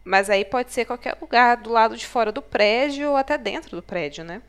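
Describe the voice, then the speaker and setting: A woman's voice: on the high side, moderate pace, smooth, natural voice. A woman with a Brazilian accent playing a caring, slightly exasperated woman in a naturalistic horror audio drama. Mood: casual, exasperated.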